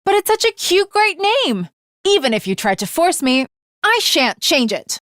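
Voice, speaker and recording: A woman's voice: extremely high pitch, very animated, moderate pace, a bit bright, no echo, studio quality, full character voice. A woman voicing an earnest, lovestruck teenage girl swordswoman in an anime video game, with formal, archaic, dramatic diction. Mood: playful, insistent.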